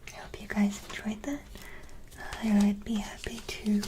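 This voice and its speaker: A woman hosting an ASMR video, chatting casually to viewers in a soft, gentle whisper. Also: mid-range voice, monotone, moderate pace.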